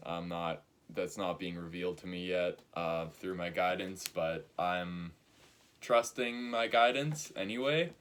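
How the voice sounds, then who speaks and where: A man in his early twenties giving a spiritual talk, slow and halting, with many pauses and fillers. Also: low-pitched. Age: 20s. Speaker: spiritual teacher.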